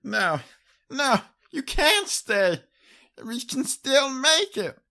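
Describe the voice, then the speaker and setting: Casting: a small, devoted young mare for audiobook drama; high-pitched, unhurried, desperately earnest. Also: female voice, sing-song, pushed and bright, exaggerated voice. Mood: tearful pleading. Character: desperate little female pony, young and loyal, pleading. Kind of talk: audiobook character acting.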